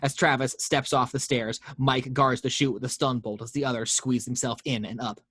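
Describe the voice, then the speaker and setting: A man's voice: medium pitch, normal speed, husky, no room sound, studio quality. Man narrating a horror script reading. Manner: steady storytelling read with small slips. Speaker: narrator reading action lines. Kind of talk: table read narration.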